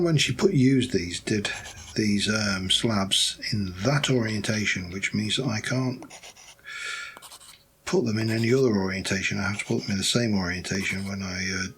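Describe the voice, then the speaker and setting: Low gravelly guy, voice going hoarse, chatting thru his game build, faint music behind. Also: fairly bright tone, low-quality recording. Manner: rambling, thinking aloud while building. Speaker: let's play host. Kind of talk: casual gameplay commentary.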